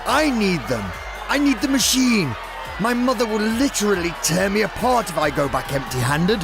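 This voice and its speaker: Man acting a high creaky petulant villain. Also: big pitch swings, moderate pace, over music, crowd noise, full character voice. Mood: desperate, whining. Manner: theatrical, pompous, blustering. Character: petulant megalomaniac villain, ranting and mother-fearing. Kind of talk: sci-fi audio drama acting.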